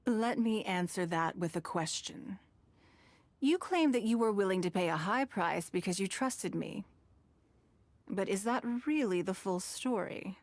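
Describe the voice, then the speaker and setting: A woman playing a shrewd, wealthy, coolly commanding businesswoman in a video game, speaking in measured, eloquent, formal phrases. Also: mid-range voice, lively intonation, a little mellow. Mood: coolly confrontational.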